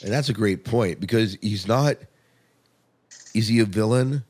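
A man with a low voice hosts a casual podcast chat. He talks informally, reacts with open enthusiasm and steers the talk with questions. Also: a little slow, a little mellow. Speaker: podcast host. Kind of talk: casual TV show discussion.

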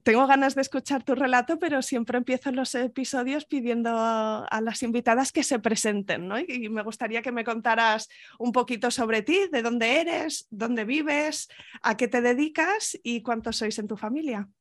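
A woman's voice: fairly high pitch, normal speed. A woman hosting a podcast, introducing the show and chatting with her guest as interviewer.

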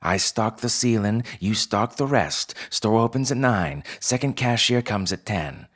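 A man's voice: fairly low pitch, lively intonation, a little slow, a bit bright, voice pushed up. A man voicing a bossy female fox store manager in a story, with a barking, clipped, blunt way of talking. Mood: brisk, bossy.

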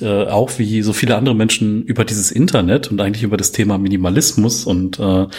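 A man's voice: on the low side, a little mellow, slightly rough. A young man hosting a podcast, chatting loosely with lots of fillers and rambling questions. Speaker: podcast host. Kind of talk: casual interview chat.

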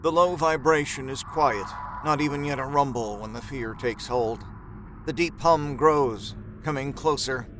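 A man narrating a horror story in a measured, suspenseful, dramatic storytelling style. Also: mid-range voice, a bit bright, a little hiss or hum, close to an everyday voice.

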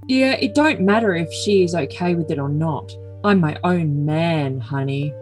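A woman's voice: low voice, measured pace, darkish tone. A woman voicing an earnest, besotted young man with a solemn, stilted manner in an overly dramatic comic message reading. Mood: earnest, firm. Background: music.

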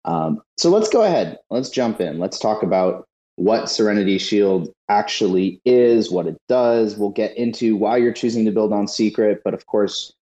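A man hosting a live audio panel, moderating guests in a brisk, upbeat way. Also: fairly low pitch, animated, mellow, echoey room, dull audio. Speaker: podcast host.

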